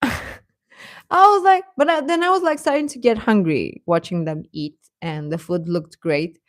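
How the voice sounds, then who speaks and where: A woman's voice: medium pitch, normal speed, dry recording. Female livestream host, mid-range voice, casual and rambling, giggly delivery, very dry close sound. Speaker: livestream host chatting with viewers. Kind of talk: casual reaction chat.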